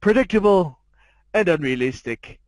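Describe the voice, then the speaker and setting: Storybook lead: snobby, sardonic talking dog acted by a man, slightly high voice, dramatic fan fiction narration. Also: lively intonation, normal speed, dry recording. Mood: dismissive. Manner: haughty, jaded, wry. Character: snobby, sardonic male diamond dog telling his life story.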